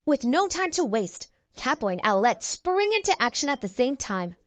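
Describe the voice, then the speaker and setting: High, animated woman storybook narrator. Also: moderate pace, no echo. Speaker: children's read-aloud narrator.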